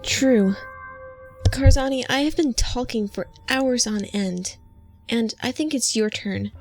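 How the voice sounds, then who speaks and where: A high-pitched little robotic chronicler, voiced by a woman in a cartoon fan dub. Earnest and wary, the figure speaks plainly and stands up to threats, with faint music underneath. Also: slow, a little mellow. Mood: defiant.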